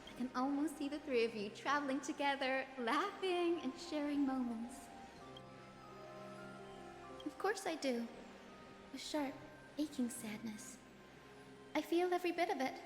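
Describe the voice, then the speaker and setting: Woman doing a soft high-voiced young healer girl from a video game, composed n solemn, echoey with music behind. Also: moderate pace, natural voice. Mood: wistful, tender. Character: gentle young female healer, descendant of a sky hero, selfless and resolute. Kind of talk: dramatic video game voice acting. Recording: echoey long reverb under music.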